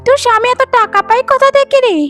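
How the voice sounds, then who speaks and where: Casting: spiteful twin-sister wife, extremely high voice, theatrical cartoon whine, loud music underneath. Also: female voice, steady tone, racing. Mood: stammering, evasive. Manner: theatrical, whining, scolding. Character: jealous, scheming young wife, twin sister, whiny and spiteful. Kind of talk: melodramatic cartoon voice acting.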